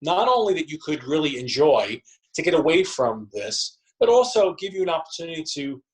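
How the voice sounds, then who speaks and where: A man's voice: on the high side, very animated, a little fast, low-quality recording. A man answering conversationally as an interview guest, feeling his way through phrases and circling back over them. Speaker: actor and theatre company member, interview guest.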